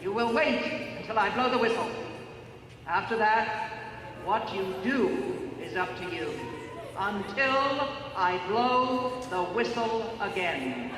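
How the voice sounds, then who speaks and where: A woman playing a stern, sanctimonious matron in an audio drama, declaiming pompously and deliberately as she presides over a grim ceremony. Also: mid-range voice, expressive, fairly bright tone. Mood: commanding. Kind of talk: theatrical audio drama oration. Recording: echoey large space, long reverb.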